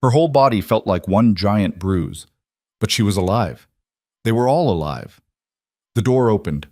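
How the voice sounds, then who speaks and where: A man reads a dramatic story in a low, steady voice. The sound is dry and close. His delivery stays measured and even. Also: dry recording. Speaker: narrator. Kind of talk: scripted story narration.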